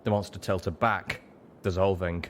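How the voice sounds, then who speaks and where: A man with a low voice narrates a story. His delivery is dramatic and scripted, in the manner of an audiobook. The recording has clear noise behind him. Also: fairly even intonation, measured pace.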